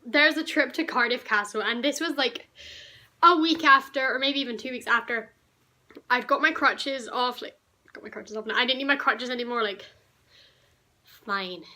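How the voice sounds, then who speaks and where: Teenage girl, croaky, rambling and indignant, bright voice. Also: a little high, normal speed, pauses often. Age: teens. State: croaky from a cough. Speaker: vlogger. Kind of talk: storytime vlog.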